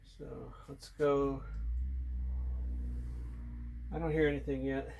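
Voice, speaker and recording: A man giving a casual, unscripted hands-on demonstration, thinking aloud in a low-key way as he works at an indoor bench. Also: little pitch movement, normal speed, vocal fry, a little mellow. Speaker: hobbyist host showing a radio repair. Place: indoor workbench. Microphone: handheld or tripod camera mic.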